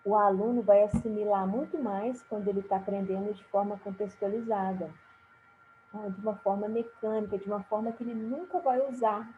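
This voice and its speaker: A woman from Brazil teaching a recorded lesson, talking slowly with frequent fillers and restarts. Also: on the high side. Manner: slow, halting delivery with many fillers and restarts. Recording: tinny phone-like sound with faint hiss.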